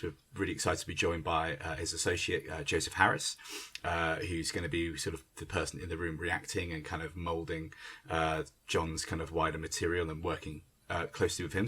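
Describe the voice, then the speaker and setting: A man with a low, husky voice speaks as a director in conversation. He is unscripted and searching, circling back on words as he explains things. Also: a bit bright. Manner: hesitant, searching, with frequent stumbles and repeated words. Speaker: theatre director. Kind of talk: unscripted conversation introducing a creative team. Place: remote internet call. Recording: online call recording.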